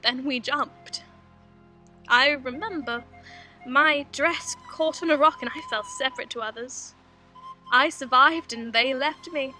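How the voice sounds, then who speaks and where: A woman's voice: high-pitched, bright, forceful tone. A young woman playing an intense, repressed aristocratic spinster haunted by her youth, in a theatrical confessional monologue. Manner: theatrical, confessional, deliberate phrasing. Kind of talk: dramatic monologue. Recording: tinny band-limited noisy amateur film sound.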